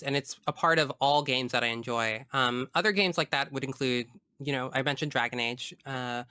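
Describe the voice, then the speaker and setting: A man's voice: medium pitch, bright, forceful tone, clean tone, no echo, studio quality. A man in a casual podcast discussion as the guest, explaining his views earnestly and analytically at length.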